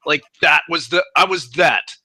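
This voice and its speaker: A man's voice: on the high side, normal speed, bright, forceful tone, no echo. A man in his thirties chatting casually and enthusiastically about sport as a guest commentator. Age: 30s. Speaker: guest commentator on a wrestling and MMA show.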